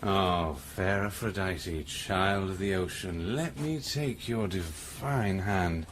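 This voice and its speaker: An actor playing a drunken, taunting scientist on a space station in an audio drama, rambling theatrically with slurred speech. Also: male voice, low-pitched, takes it slowly, slight background noise. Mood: drunken, mocking.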